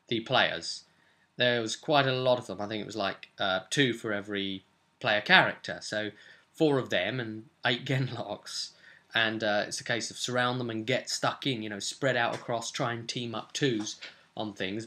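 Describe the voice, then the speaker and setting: A man's voice: on the low side, sharp tone. A man in his twenties casually recapping a tabletop role-playing session as its game master, explaining things as he goes. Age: 20s. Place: small cluttered room at home.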